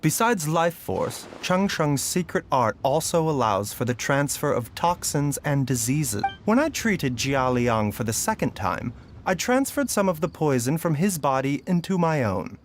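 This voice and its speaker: An actor playing a calm, composed male doctor in a game cutscene, speaking in a measured, polite, explanatory way. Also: fairly high pitch, slight background noise. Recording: game audio with music behind.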